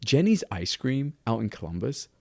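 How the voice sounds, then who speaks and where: A man co-hosting a casual podcast chat, getting over a cold, telling stories in a chatty, animated way and often repeating words as he goes. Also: mid-range voice, moderate pace, creaky, no echo, studio quality. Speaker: podcast co-host.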